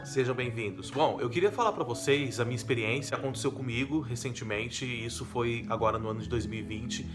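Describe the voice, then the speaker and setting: A man's voice: mid-range voice, fast talker. Chatty man from São Paulo. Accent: São Paulo Brazilian Portuguese. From São Paulo, Brazil. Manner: chatty, addresses viewers, frequent tag questions. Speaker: vlogger on living in Portugal. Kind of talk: casual talk to camera. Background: soft guitar music.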